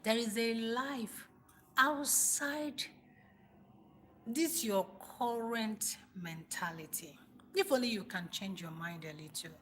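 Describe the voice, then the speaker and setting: A woman with a Nigerian accent speaks in a bright, forceful voice. She gives informal motivational talk to live viewers, leaning on repetition and pointed rhetorical questions for emphasis. There is noticeable noise behind her voice. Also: fairly high pitch, slow pace. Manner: emphatic, repeats phrases for stress, rhetorical questions. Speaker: livestream host, motivational speaker.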